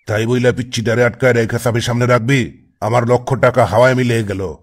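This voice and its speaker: A man's voice: medium pitch, fairly even intonation, brisk, lightly acted. A man doing a bossy, irritable cartoon gang boss. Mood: irritated scolding. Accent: Bangladeshi colloquial Bengali. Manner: scolding, commanding. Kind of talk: comic cartoon voice acting. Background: music.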